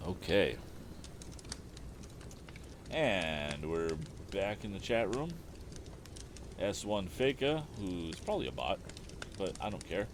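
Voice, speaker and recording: Low-voiced man hosting a story stream. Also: sing-song, slow pace, pauses often, a little hiss or hum. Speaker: storytime livestream host and reader. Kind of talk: casual stream chat and book narration.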